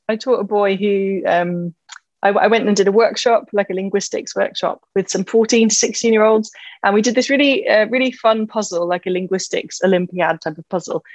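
An enthusiastic woman chatting about language in a conversational podcast. Her voice sits at an ordinary pitch and she fills her sentences with "you know". The recording is dry and close, with no room sound. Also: dry recording, dull audio. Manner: enthusiastic, uses many fillers like "you know".

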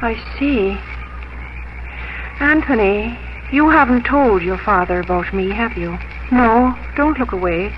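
A woman's voice: fairly high pitch, takes it slowly, noisy background. An actress playing an earnest, devoted young farm woman in love, tender and restrained, in 1950s radio drama style. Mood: hurt, resigned. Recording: muffled old radio broadcast, music underneath.

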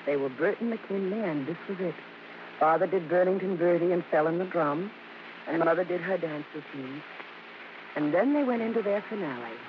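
A woman's voice: fairly low pitch, moderate pace, close to an everyday voice. An actress playing a grown daughter narrating her family's past in a scripted vintage radio drama. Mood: reflective. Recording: noisy, dull vintage radio recording.

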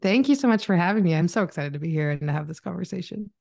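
Very creaky, lowish Canadian woman. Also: expressive, racing, a little mellow. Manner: informal, chatty, self-narrating. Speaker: podcast guest, marketing mentor. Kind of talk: conversational interview storytelling.

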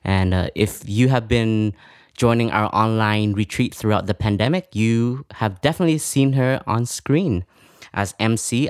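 Male podcast host, monk. Lowish voice, conversational introductions, very dry studio sound. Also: no echo.

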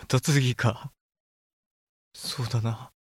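A man's voice: mid-range voice, steady tone, takes it slowly, slightly rough, no echo, studio quality. A male actor playing an earnest young samurai lord and new father, speaking formal, archaic Japanese in a historical audio drama. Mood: wistful.

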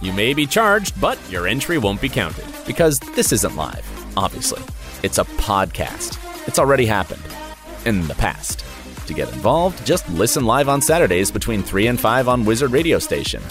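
A male announcer delivers a scripted radio jingle in a lowish voice. His pitch swings dramatically, with a tongue-in-cheek theatrical manner. Music plays clearly behind him. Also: big pitch swings, normal speed.

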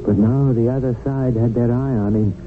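A man's voice: medium pitch, steady tone, moderate pace, background noise, lightly acted. A man playing an earnest, anxious agent of good who narrates his own tale in a radio drama. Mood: worried narration. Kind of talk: radio drama acting and first-person narration. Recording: phone-like old radio recording, music underneath.

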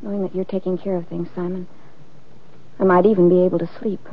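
Actress as fast-talking femme fatale, old radio. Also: female voice, fairly low pitch, level tone, a little hiss or hum, natural voice. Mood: anxious, coaxing. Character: anxious, seductive married woman pleading for help. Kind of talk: 1940s-50s radio drama acting. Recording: muffled old-time radio recording.

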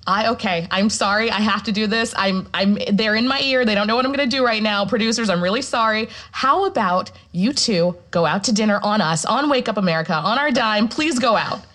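An actress playing an excitable, effusive female morning television host with dramatic, emphatic delivery. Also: mid-range voice, a little fast, hardly any pauses, natural voice. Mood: excited, apologetic. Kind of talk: breezy television presenting.

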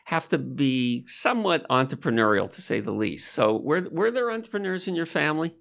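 A man hosting a podcast interview, asking measured, thoughtful questions. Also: fairly high pitch, sing-song, gravelly, fairly bright tone. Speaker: podcast host.

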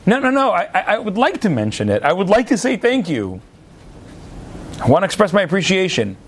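Man, high pitched, Torah teacher, lively storyteller, talks straight to the class with asides. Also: a little fast, slight background noise. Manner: animated, repeats words mid-sentence; chatty asides to listeners. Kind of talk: storytelling sermon-style lesson.

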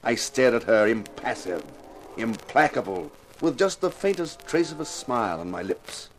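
An actor playing a wry, world-weary television star of a detective show, in 1950s radio drama style, dramatic and wisecracking. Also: male voice, medium pitch, expressive, normal speed, faint music behind, close to an everyday voice, low-quality recording. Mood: hard-boiled, triumphant. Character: wry, world-weary male TV actor who plays a hard-boiled inspector. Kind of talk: 1950s radio detective drama acting with first-person narration.

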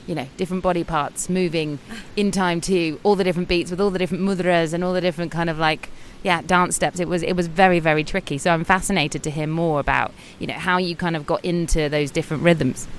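Deep-voiced chatty woman host. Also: fairly even intonation, fairly quick, a little hiss or hum. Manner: enthusiastic, chatty, many fillers. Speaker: show host, interviewer. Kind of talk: casual interview questions. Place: outdoors on a beach.

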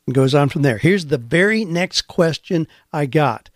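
A man with a slightly higher voice hosts a career radio show, earnest and encouraging. He talks conversationally on a close mic with a dry, unechoing sound. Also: expressive, normal speed, no echo. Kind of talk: podcast radio monologue.

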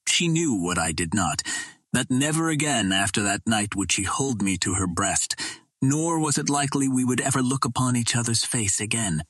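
A man narrating an adventure novel as an audiobook in a measured, steady storytelling manner. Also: medium pitch, no echo.

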